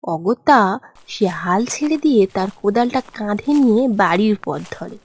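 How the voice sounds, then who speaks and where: A woman's voice: medium pitch, expressive, moderate pace, a little mellow, husky. A woman giving scripted narration of a fairy tale as storyteller. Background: background music.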